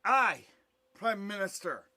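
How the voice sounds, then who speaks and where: A man's voice: sits high, big pitch swings, slow pace, sharp tone, voice pushed up, heavily acted. A man playing a British explorer in an audio drama, urgent and formal as he addresses his superior. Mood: urgent, deferential.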